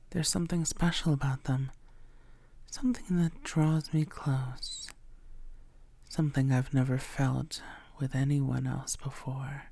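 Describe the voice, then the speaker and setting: A woman playing an infatuated, predatory female vampire in an intimate audio roleplay, murmuring in a deliberate, theatrical and often breathy way. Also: extremely low pitch, dark tone, no echo, heavily acted. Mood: brooding, enchanted.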